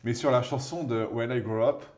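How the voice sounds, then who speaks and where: A man's voice: medium pitch, normal speed. A man in his thirties co-hosting a podcast, chatting casually and telling jokey stories while painting. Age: 30s. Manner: lively, jokey, animated storytelling. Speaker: podcast co-host.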